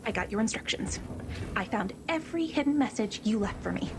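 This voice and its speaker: A giddy, high-voiced obsessive superfan. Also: female voice, animated, a little fast, background noise. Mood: giddy, adoring. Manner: coy, giddy, playful, conspiratorial. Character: bubbly, obsessive female superfan from a diner, unhinged devotion. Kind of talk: animated game dialogue.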